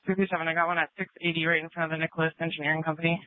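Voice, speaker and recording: A man's voice: fairly high pitch, flat delivery, racing, no echo. A male police officer giving short field updates over a police radio. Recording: tinny narrow-band police scanner audio.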